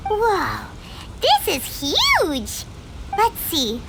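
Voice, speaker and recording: A woman voicing a tiny, excitable, earnest fairy companion in an anime game, with a squeaky childlike voice and an exclaiming manner. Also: extremely slow, creaky, heavy background noise, full character voice. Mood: amazed. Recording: loud music behind.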